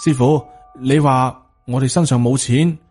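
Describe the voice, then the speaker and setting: Hot-headed young male apprentice for an audiobook, done by a man, Hong Kong Cantonese, raised pitch, questioning, faint music. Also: steady tone, unhurried, a little mellow, natural voice. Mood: worried. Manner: indignant, questioning.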